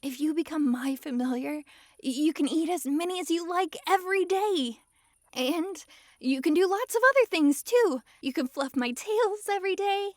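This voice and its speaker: Woman doing a high voiced yandere fox girl, giggly and clingy, sweet but bossy, super dry intimate asmr sound. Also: normal speed, dry recording. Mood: coaxing, playful. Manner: sweetly controlling, giggly, swings between gushing and hushed coaxing. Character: possessive, lovestruck young-sounding fox-girl mage, dominant yet giddy. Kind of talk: intimate ASMR roleplay addressed to listener.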